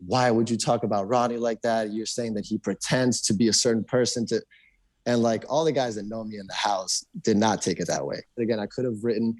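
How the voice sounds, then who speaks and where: Young man, from Argentina, native Spanish speaker, rapid, lowish voice, rambling casual chat. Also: fairly even intonation, a little mellow, no room sound, rough recording. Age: young adult. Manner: fast, rambling, many restarts and fillers. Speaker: podcast guest.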